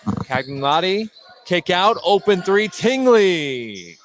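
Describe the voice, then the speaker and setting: Higher-voiced man calling basketball over gym noise. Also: big pitch swings, slow pace. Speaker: play-by-play basketball announcer. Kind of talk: live sports radio commentary. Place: indoor high school gym during a game. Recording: noisy gymnasium with bouncing basketballs. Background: game noise, bouncing basketballs.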